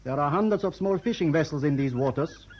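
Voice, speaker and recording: An actor playing a firm, cautious Norwegian ship's pilot in a radio drama, formal and measured. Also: male voice, a little high, lively intonation, a little mellow. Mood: firmly cautioning. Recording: dull lo-fi radio recording.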